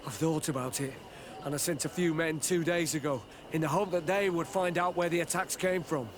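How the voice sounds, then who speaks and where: A man's voice: fairly high pitch, fairly even intonation, a little fast, noisy background, lightly acted. A man voicing a dutiful, worried military officer of a besieged outpost in a video game, earnest in manner. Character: worried male outpost officer, dutiful soldier under siege. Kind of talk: video game cutscene acting.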